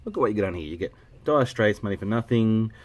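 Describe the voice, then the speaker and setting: An Australian man with a blocked, cold-stuffed voice, chatting casually and rambling, often stopping to clear his throat and correct himself. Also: fairly low pitch, lively intonation, fast talker. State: congested with a head cold. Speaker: vinyl collecting vlogger. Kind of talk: casual rambling chat to camera. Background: music playing softly.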